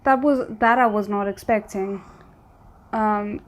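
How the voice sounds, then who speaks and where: A woman's voice: mid-range voice, steady tone, takes it slowly. A woman doing casual reaction commentary, thinking aloud in tentative asides as she watches. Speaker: reaction video host.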